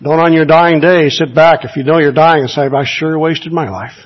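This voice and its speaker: Husky earnest preacher, muffled recording. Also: male voice, fairly high pitch, normal speed. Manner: earnest, exhorting, rhetorical questions. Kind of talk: sermon.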